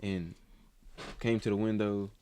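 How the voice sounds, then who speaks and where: A man's voice: on the low side, fairly even intonation, a little slow, dark tone, slight background noise. A man in his twenties hosting a casual podcast chat, rambling and swearing freely with lots of fillers and restarts. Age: 20s. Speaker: podcast host.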